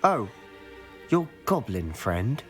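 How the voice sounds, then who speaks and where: A brusque, sardonic teenage wizard boy, with a British accent and very animated delivery. He is an impatient, hot-headed video game companion, with music playing behind him. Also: on the low side, slow pace. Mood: exasperated. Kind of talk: video game character acting.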